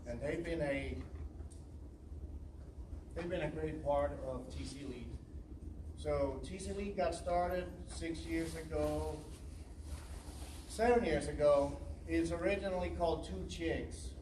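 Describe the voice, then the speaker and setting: A man's voice: fairly high pitch, measured pace, pauses often. Man speaking from far in an echoey hall. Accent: non-native English. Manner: earnest, emotional, rambling with fillers. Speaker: volleyball club coach. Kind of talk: speech at a signing ceremony. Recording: echoey room, far from mic, noisy.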